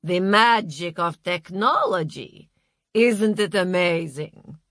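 A woman voicing a patronizing, serenely fanatical Russian female wellness guru in an audiobook, speaking with deliberate patience. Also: on the low side, expressive, a bit bright, no echo, clean studio sound, exaggerated voice. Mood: patronizing delight. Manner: slow, deliberate, patient condescension.

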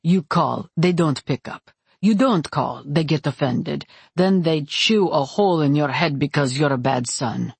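A woman puts on a dark god's priest, a man with a very low, rough-edged voice. He is wry and placating, reasoning soothingly with dry humor, as an audiobook character in a dry recording. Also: a little slow, no echo, full character voice.

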